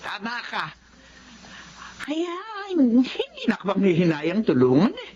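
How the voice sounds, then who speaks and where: Kindly, motherly lady of the house, very animated melodramatic intonation, dull recording with faint noise. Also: medium pitch, a little slow. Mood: conversational. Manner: gentle, reassuring. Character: kindly, generous married lady employer, motherly and childless. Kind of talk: Filipino radio-style melodrama acting.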